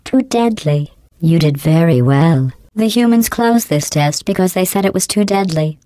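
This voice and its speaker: A cold, sarcastic female AI from a video game, played by a woman, sneering at her test subjects in a clipped deadpan. Her voice sits low and sounds smooth and polished. It is recorded close and dry, with no room sound. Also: normal speed, no echo, close to an everyday voice. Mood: deadpan mocking. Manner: mocking, dry insults in flat delivery.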